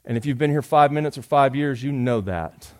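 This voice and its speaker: A man, preaching a sermon in a folksy, joking way, rising loud and dropping soft. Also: mid-range voice, fairly quick. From Georgia. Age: 40s. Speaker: pastor.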